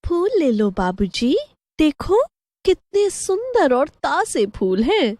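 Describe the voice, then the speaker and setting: Lady doing a young street flower girl, sweet n coaxing, high voice that swoops around, horror story. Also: sing-song, takes it slowly, a little mellow, no echo, full character voice.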